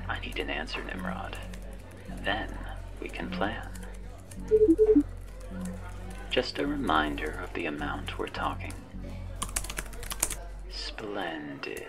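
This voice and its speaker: A man playing a sly, menacing, all-knowing cyberpunk handler in an ASMR roleplay, with a whispery, gravelly voice and a sardonic, teasing manner. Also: low-pitched, full character voice. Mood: cool warning, then businesslike, secretive. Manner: slow-burning, sardonic, teasing.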